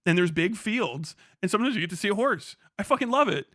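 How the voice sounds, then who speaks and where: A man's voice: sits high, quick pace, dry recording, studio quality. A man streaming live, chatting casually, swearing constantly and breaking into laughter. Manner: profane, excitable, laughs a lot. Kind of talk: casual livestream chat.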